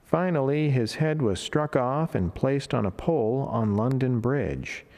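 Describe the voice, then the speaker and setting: A middle-aged man reading a devotional reflection as a friar, in a solemn, measured, formal way. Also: mid-range voice, darkish tone. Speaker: Franciscan friar preacher. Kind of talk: read devotional reflection and prayer. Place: indoor chapel, at a lectern beside the altar. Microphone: lectern microphone.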